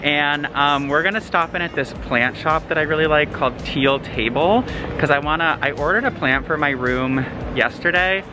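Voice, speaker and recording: A man's voice: a little high, moderate pace, over music. A young man walking through city streets, chatting casually to a handheld camera. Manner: upbeat, chatty, frequent ums. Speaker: vlogger. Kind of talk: casual vlog narration. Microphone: camera held at arm's length.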